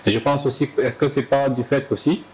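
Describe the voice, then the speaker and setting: A man's voice: mid-range voice, fairly even intonation, normal speed, a little mellow, a little hiss or hum, poor audio. A man in a conversational panel discussion, circling his point hesitantly and often repeating phrases.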